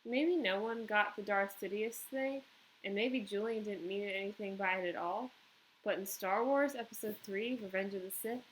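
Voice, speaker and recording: A woman teacher reads a children's novel aloud and adds simple commentary for pupils. Her pitch is mid-range, and there is clear noise in the background. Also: low-quality recording.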